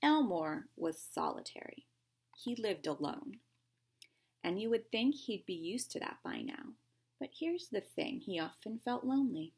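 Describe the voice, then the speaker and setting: A woman's voice: medium pitch, very animated, measured pace, gravelly, darkish tone, husky. A woman reading a picture book aloud to young children like a teacher, expressively, with simple explanations and questions along the way.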